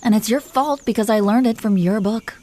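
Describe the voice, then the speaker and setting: A woman's voice: fairly high pitch, brisk, dark tone, natural voice. A woman playing an imperious, possessive dragon queen who teases her husband with condescending affection in an audio roleplay. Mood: haughty. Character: haughty, teasing, possessive dragon queen and doting wife. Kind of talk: scripted roleplay monologue spoken to the listener.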